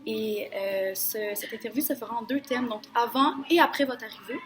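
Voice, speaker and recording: A woman's voice: a little high, normal speed. A young adult woman student interviewing someone, asking her questions politely and hesitantly, with fillers. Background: music playing.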